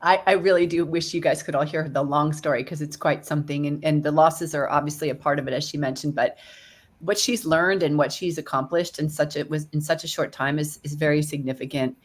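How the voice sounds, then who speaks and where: A woman's voice: sits low, steady tone, fairly quick. A woman hosting a podcast, introducing and interviewing her guest with enthusiasm and frequent laughter. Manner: enthusiastic, laughs often, warm praise of guest. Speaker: podcast host.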